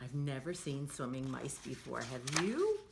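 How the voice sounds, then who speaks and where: A woman hosting children's storytime, reading a picture book aloud in an expressive, sing-song manner and talking directly to the young listeners. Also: rumbling low, unhurried, gravelly, heavy background noise.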